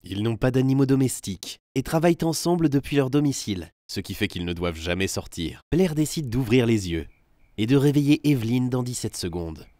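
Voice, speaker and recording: A man's voice: mid-range voice, animated, fairly quick, dark tone, dry recording, clean studio sound. A man reading scripted third-person narration for a film.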